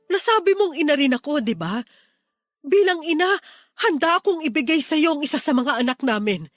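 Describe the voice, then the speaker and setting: A woman's voice: high-pitched, animated, brisk, dry recording, studio quality. A Filipino woman playing a desperate wife and mother pleading earnestly with her sister-in-law in a radio drama. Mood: distressed, insistent. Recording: music under the dialogue.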